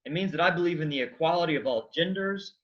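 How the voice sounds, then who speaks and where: A man's voice: fairly high pitch, fast talker, close to an everyday voice. A man playing an earnest, argumentative man who wants to die, in a staged reading with dull sound. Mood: righteous. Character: earnest, argumentative, despairing man set on dying.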